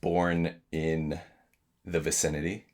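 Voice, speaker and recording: A man's voice: rumbling low, level tone, slow, a little mellow. A man playing trivia, joking dryly and hesitating with fillers in a casual chat. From Singapore. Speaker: trivia contestant.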